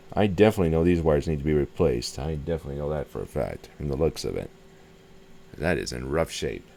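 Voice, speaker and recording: A man's voice: rumbling low, darkish tone. A man talking casually and tentatively through a hands-on repair demonstration, thinking aloud and often repeating himself as a hobbyist host. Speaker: hobbyist video host showing a radio repair. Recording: clear background noise.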